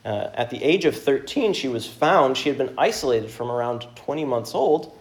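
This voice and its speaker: A man of middle pitch preaching with earnest, measured delivery. He reads scripture, prays, and teaches, pausing between phrases. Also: expressive. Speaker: pastor. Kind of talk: sermon with scripture reading and prayer.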